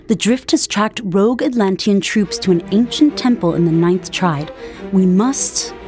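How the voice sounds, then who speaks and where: A woman's voice: medium pitch, lively intonation, a little mellow, soft background music, lightly acted. Woman acting a proud, regal undersea warrior queen. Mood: grave. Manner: formal, regal, measured diction. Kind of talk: video game voice acting.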